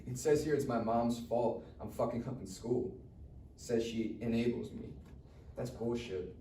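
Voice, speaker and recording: Man acting as sarcastic teenage rich kid, cocky, mellow tone, live table read, roomy sound. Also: medium pitch, fairly even intonation, unhurried, close to an everyday voice. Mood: bitter. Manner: sarcastic, wisecracking. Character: cocky, foul-mouthed, bitter rich teenage schoolboy. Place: live reading event in a room. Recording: echoey room, distant mic, faint noise.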